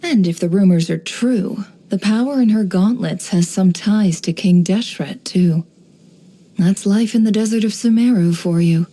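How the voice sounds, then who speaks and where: An actress playing a shrewd, worldly woman who runs an intelligence business in a video game, speaking in a composed, wry, measured way. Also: mid-range voice, warm tone, lightly acted, poor audio. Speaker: video game character voice actor. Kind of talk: scripted game character voice lines, monologue.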